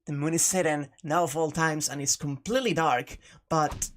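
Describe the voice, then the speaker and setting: A woman's voice: deep voice, steady tone, normal speed, slight background noise. A woman hosting a playthrough, reading a visual novel aloud with informal, sweary, jokey asides. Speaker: host of a game playthrough. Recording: music playing behind the voice. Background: game music.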